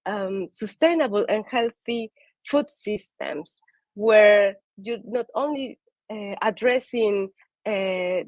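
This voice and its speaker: A woman with a Peruvian Spanish accent gives a prepared talk on nature. Her pitch is middling and her delivery earnest, with many "uh"s and restarts. The sound is dry, with no room echo. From Peru. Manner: earnest, with frequent fillers and restarts. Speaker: conservation speaker.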